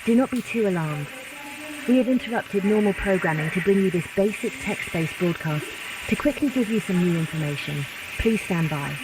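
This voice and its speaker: A man reading a scripted emergency news bulletin in a formal, measured announcing manner. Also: sits high, animated, very noisy. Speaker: announcer of a mock emergency broadcast. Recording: distant voice under loud music. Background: loud music.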